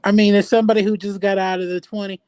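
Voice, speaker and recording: A man about thirty chatting casually as a livestream guest, animated and often starting his sentences with "look". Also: sits high, racing, clean tone, dry recording. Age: 30s. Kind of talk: casual chat.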